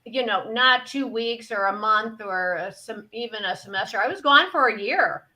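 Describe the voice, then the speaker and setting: A woman's voice: fairly high pitch, expressive, normal speed, pushed and bright, low-quality recording. A woman in her sixties from St. Louis, reminiscing in a friendly way on a casual panel. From St. Louis, Missouri. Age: 60s. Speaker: podcast guest.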